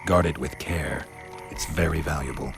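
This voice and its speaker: A man voicing a stoic monster hunter with a dry, deadpan way of talking in a video game. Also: extremely low pitch, normal speed, background noise. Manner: terse, deadpan.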